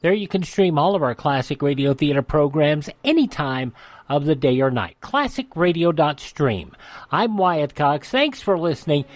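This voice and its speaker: A man's voice: on the high side, animated, moderate pace, dry recording. A man hosting a radio programme, delivering an outro and plugs in a studio. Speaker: radio show host. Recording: dry studio with music behind.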